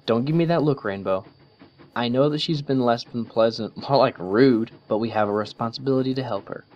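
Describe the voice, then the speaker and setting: Male reader doing an earnest, principled pony mare, pitched up above his own voice; lo-fi sound with faint hiss. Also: brisk, lightly acted. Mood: firm. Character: earnest studious young female unicorn pony.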